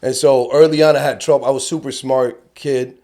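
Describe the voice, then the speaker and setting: A middle-aged actor from Cuba talking casually in a podcast interview, an animated storyteller who swears freely. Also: male voice, medium pitch, a little fast. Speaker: actor guest.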